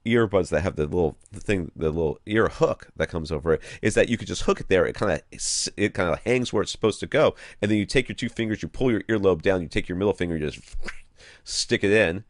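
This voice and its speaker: A man hosting a podcast, chatting casually and giving advice in a rambling, colloquial, animated way. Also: low voice, fast talker, no echo, clean studio sound. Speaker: podcast host.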